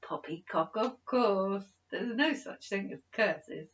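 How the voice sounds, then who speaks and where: A woman voicing an absent-minded, excitable elderly male professor, gentle and playful, in a children's storybook reading. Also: medium pitch, slow, lo-fi sound. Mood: bashful.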